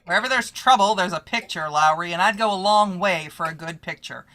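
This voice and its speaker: A woman's voice: fairly low pitch, brisk, bright, forceful tone. A woman playing a brash, bossy press photographer in old-time radio style, with snappy, slangy wisecracks. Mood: breezy.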